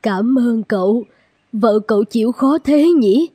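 A woman's voice: a little high, expressive, measured pace, mellow, breathy edge, no room sound. A woman voicing a humble, troubled middle-aged servant mother in a cartoon fairy tale, earnest and pleading. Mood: earnest persuading.

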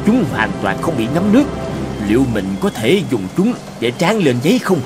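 A man's voice: a little high, wildly swooping pitch, moderate pace. A man playing an eager, inventive middle-aged husband in a cartoon, musing expressively. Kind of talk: cartoon dubbing. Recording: clear music and rain behind.

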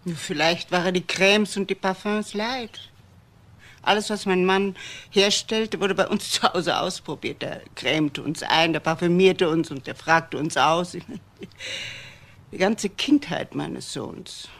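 An older actress playing a wealthy, bitter widowed mother in a German crime radio drama, speaking deliberately and dramatically with pauses. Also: on the low side. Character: old wealthy widowed mother, bitter about her son.

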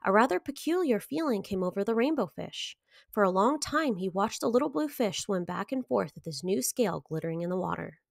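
A woman in her thirties reading to children as a story time host, with expressive, clearly phrased delivery. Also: medium pitch, a little fast, no echo, studio quality. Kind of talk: children's picture book read-aloud.

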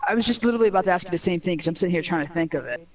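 A man's voice: high voice, racing. A young man chatting casually in slangy, conversational talk while playing a video game. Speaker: gaming show co-host.

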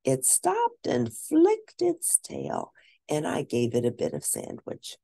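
A woman reading a children's book aloud as an expressive storyteller, stressing and stretching words for drama. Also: rumbling low, gravelly, breathy edge. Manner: animated, dramatic emphasis with drawn-out words.

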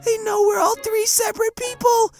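A man voicing an excitable, sweet, naive young dragon head in a cartoon comic dub. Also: extremely high pitch, moderate pace, creaky, faint music behind, pitched above the performer's own voice. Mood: excited.

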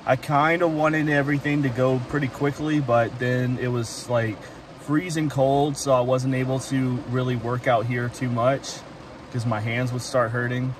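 A man's voice: mid-range voice, level tone, moderate pace. Young man rambling inside a parked car. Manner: hesitant, lots of fillers and restarts. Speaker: vlogger. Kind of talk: casual vlog update. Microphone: phone camera close to face. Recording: faint rain noise in car. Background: rain and car ambience.

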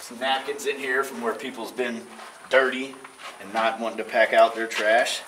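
A young man leads a casual, folksy tour of an abandoned baseball stadium, talking straight to the camera. His voice sits in an ordinary middle pitch. The outdoor camera audio carries clear wind and traffic noise. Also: flat delivery, moderate pace. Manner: folksy, chatty tour-guide talk. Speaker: urban exploration vlogger.

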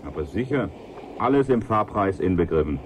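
Obliging low-voiced transport attendant. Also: male voice, animated, normal speed, heavy background noise. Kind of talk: radio play acting.

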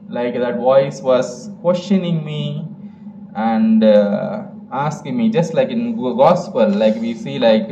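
A man preaching a homily in a slow, unhurried way, full of "uh" and "like." His voice comes from some distance off a stand mic and rings around a roomy chapel. The sound is dull and lo-fi with a steady hiss underneath. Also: medium pitch. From India. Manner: conversational, with many fillers like "uh" and "like". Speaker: priest giving a homily. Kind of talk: sermon. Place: chapel with stage curtains. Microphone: stand mic on a gooseneck at the chest.

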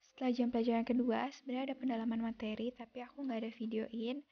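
A young woman from Indonesia narrating her daily vlog as a calm, unhurried voice-over, recorded separately in a quiet room. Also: a little high, muffled recording. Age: young adult. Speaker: vlogger. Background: soft background music.

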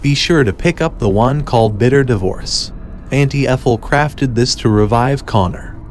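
Man narrating a game walkthrough, faint noise. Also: mid-range voice. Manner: measured, instructive. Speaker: video game guide narrator. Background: video game ambience.

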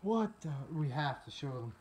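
A man's voice: fairly high pitch, sing-song, moderate pace. A young man giving casual, nervous, sweary commentary while exploring inside a house with a handheld camera. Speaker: video host exploring abandoned houses. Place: inside a cluttered abandoned house. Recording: noisy handheld camera recording.

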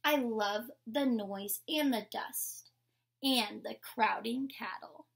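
Young lady doing a storybook read-aloud, kinda high voice w lots of vocal fry. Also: slow pace. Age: young adult. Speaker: teacher reading aloud. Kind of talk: picture-book read-aloud with short explanations. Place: indoor room with painted mural wall.

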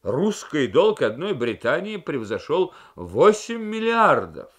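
Male reader as statesman at negotiations, British premier, raised pitch, emphatic, indignant oratory, radio storytelling. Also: sing-song, slow pace, heavily acted. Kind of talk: dramatised reading of a novel on radio.

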